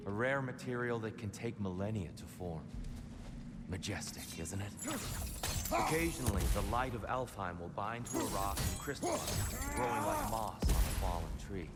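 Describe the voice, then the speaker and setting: An actor playing a knowledgeable, wistful guide in a video game, speaking eloquently and reflectively. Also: male voice, lowish voice, wildly swooping pitch, measured pace, heavy background noise.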